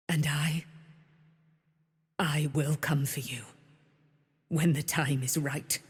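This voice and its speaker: A woman's voice: deep voice, no room sound, clean studio sound. A woman playing a proud, vengeful and noble immortal warrior in a fantasy video game, declaiming in a grand, formal, archaic way. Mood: ominous, taunting.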